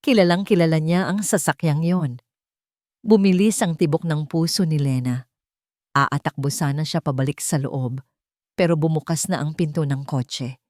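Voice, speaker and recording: A woman's voice: low voice, moderate pace, darkish tone, dry recording, studio quality. A woman narrator reading a scripted story in a dramatic style.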